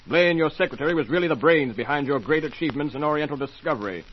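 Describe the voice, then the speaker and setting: An actor playing a shrewd, authoritative inspector, speaking deliberately and pointedly in an old-time radio mystery. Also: male voice, fairly high pitch, close to an everyday voice. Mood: accusing. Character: shrewd, authoritative police inspector, methodical accuser. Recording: muffled, lo-fi old radio recording with hiss.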